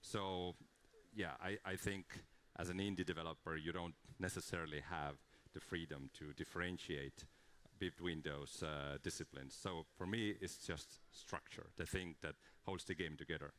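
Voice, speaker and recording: Brief: man, conference speaker, very low voice, earnest and explanatory. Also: fairly even intonation, moderate pace, a bit bright. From Estonia. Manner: earnest, explanatory, with frequent fillers and restarts. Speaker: conference speaker, game creative director. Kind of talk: conference talk.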